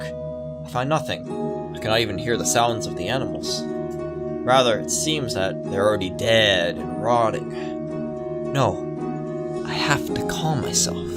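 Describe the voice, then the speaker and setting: A man hosting a gaming video, reading a horror visual novel aloud as dramatic narration. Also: on the low side.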